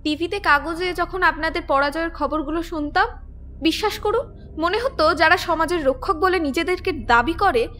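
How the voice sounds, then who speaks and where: A cold, bitter young murderess, played by a woman speaks in a very high, thin voice with defiant calm. Faint noise hangs behind her in this audio crime drama. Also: moderate pace. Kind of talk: Bengali audio drama acting.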